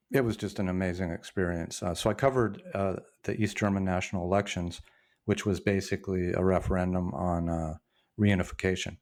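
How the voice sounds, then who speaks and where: A man in his sixties with a very low, deep voice talks through his memories as a podcast guest. He is reflective and halting, often restarting his sentences as he tells his story. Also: a little mellow. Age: 60s. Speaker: podcast guest, former journalist. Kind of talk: casual storytelling interview.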